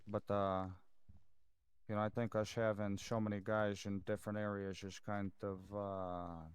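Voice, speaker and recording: Man as low-voiced mobster superior. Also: level tone, measured pace, clean tone, no echo, lightly acted. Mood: measured. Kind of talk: improvised crime roleplay in an online game.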